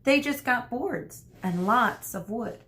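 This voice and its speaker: A woman speaking as an elementary school teacher, reading a story aloud to children and pausing to explain things. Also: fairly high pitch, animated, fairly bright tone. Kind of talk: read-aloud lesson for children.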